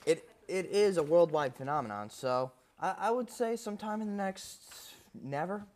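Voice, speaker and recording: A young man co-hosting a college radio arts segment, with deadpan jokes in chat and reading event promos. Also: high voice, moderate pace. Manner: dry, deadpan humor. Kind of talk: casual chat and read announcements.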